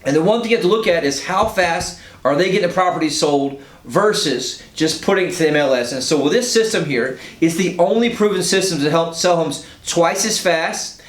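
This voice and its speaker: A man working as a real estate agent makes an informal, emphatic and persuasive sales pitch, often checking in with a rhetorical "right?". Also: animated, brisk, hardly any pauses. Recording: roomy echo, faint low rumble.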